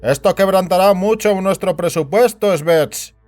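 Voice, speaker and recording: A man playing a worried, scheming and bossy senior official in an audio drama, speaking with theatrical, deliberate authority. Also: high-pitched, darkish tone. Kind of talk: scripted Spanish audio drama acting. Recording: music bed behind the voice.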